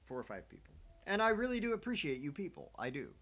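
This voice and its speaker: A man's voice: on the high side, huge pitch swings, fast talker, fairly bright tone. A man hosting a small gaming channel's livestream, rambling casually and conversationally, with a self-deprecating way of talking.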